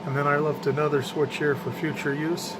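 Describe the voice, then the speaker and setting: A man's voice: fairly high pitch, flat delivery, fairly quick. A man giving a casual, unscripted walkthrough of his hobby setup in a plainspoken, slangy way. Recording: loud hum of fans and room noise.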